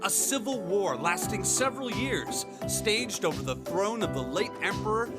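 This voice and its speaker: Animated, theatrical history teacher. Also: male voice, high voice, loud music behind the voice. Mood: engaged, didactic. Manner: measured, theatrical storytelling. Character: learned history teacher at a military academy. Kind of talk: lecture, video game voice acting.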